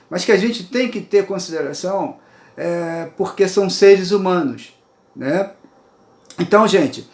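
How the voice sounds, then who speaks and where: A man's voice: sits high, echoey room. A man in his sixties with a Brazilian Portuguese accent, giving a rambling, earnest personal monologue from his apartment and often checking in with his listeners. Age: 60s. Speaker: poet and writer sharing views.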